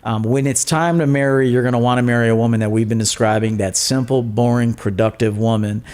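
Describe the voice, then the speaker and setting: A man's voice: medium pitch, steady tone, brisk, darkish tone. A man who works as a coach, a guest on a podcast, giving blunt advice in a loose, repetitive, filler-filled way. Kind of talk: casual podcast interview.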